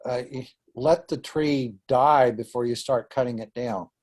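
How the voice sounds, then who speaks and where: A man's voice: medium pitch, measured pace, a little mellow. A man in the audience asking and discussing questions in a hesitant way, with fillers and restarts. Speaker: audience member.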